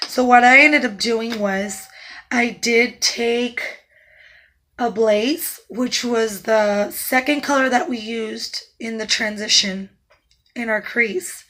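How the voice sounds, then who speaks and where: A woman with a naturally raspy voice that is hoarse and cutting in and out, pitched a touch high. She talks through a makeup tutorial in a calm, instructive way. Now and then she drops into soft asides while she works. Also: slow. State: hoarse, voice going in and out. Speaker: makeup artist.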